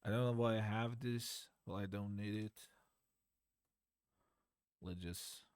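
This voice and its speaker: Slow low-ish guy walking through his code, keeps hunting for words, pretty dry sound. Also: fairly even intonation, a little mellow, no room sound. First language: Farsi. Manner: hesitant, searching for words, self-deprecating. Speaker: beginner game developer. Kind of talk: unscripted coding walkthrough.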